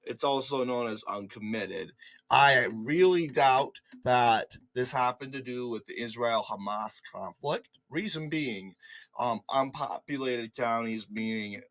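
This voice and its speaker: A man's voice: medium pitch, a little slow, a bit bright, low-quality recording. A man with a cold, congested and slightly cracking, hosting casual political commentary over a screen recording in a mocking, dismissive way, often restarting his words.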